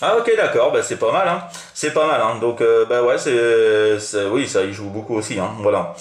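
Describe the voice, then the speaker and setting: A French man talks casually as a hobby radio operator, with a middling pitch. He rambles and repeats himself, feeling for his words. The room around him adds a clear echo. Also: moderate pace. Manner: rambling, hesitant, repetitive. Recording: roomy, echoing room sound.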